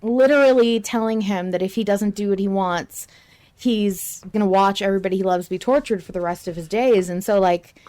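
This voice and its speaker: A woman's voice: medium pitch, fairly quick, mellow. A woman co-hosting a podcast in casual chat, with a playful, joking, slangy way of talking. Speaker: podcast co-host.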